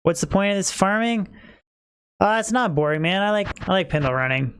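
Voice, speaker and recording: A man's voice: high voice, lively intonation, fairly quick, a bit bright, smooth. A man streaming a game live, chatting casually with his viewers while he plays; he says he is losing his voice. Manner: chatty, talks to chat viewers, many fillers.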